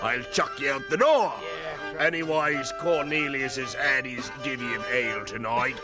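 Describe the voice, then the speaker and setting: An actor playing a bossy but hearty older tavern keeper in an audio drama, gruff and blustering. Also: male voice, medium pitch, normal speed, close to an everyday voice. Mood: firm. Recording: tavern music behind.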